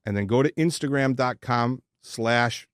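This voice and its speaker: A man's voice: medium pitch, measured pace, no room sound, studio quality. A man in his sixties hosting a podcast, talking casually in a blunt, conversational way. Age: 60s. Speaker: podcast host. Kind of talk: casual podcast chat and personal testimonial.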